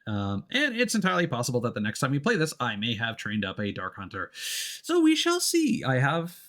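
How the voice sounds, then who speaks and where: A man's voice: medium pitch, wildly swooping pitch, fairly bright tone. Man chatting casually on a livestream, hesitant. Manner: hesitant, rambling, with frequent ums and pauses. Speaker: video game livestreamer. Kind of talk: casual chat to stream viewers.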